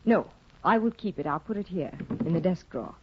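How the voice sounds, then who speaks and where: An actress playing a cold, disdainful aristocratic young wife in a 1950 radio drama, cool and clipped, on a dull old broadcast recording. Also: female voice, lowish voice, expressive, fairly quick, heavy background noise, lightly acted. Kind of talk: 1950s radio drama acting.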